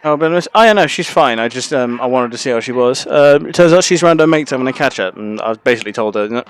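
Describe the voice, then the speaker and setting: A man's voice: medium pitch, lively intonation, quick pace, clean tone. A man streaming a video game, chatting casually and swearing freely. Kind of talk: casual gaming commentary.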